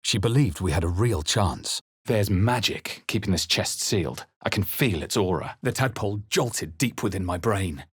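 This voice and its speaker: A man playing a brooding, wary fantasy adventurer in a video game, with a husky voice and hushed, deliberate, dramatic delivery. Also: low voice, expressive, no room sound, clean studio sound. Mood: grave, intent. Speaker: video game player-character voice actor. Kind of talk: dramatic scripted game narration and reaction lines.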